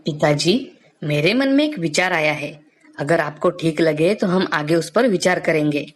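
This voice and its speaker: A woman's voice: low voice, animated, normal speed. A woman playing a polite, thoughtful young son in a storybook cartoon. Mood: earnest. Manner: respectful, earnest.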